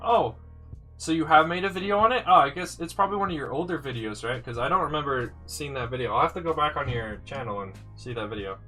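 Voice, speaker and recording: A man's voice: fairly high pitch, fast talker, sharp tone. A man with a slightly congested voice, chatting casually on a live stream as he builds sets. He thinks aloud and answers viewers' comments. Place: indoors, his room. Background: faint music playing.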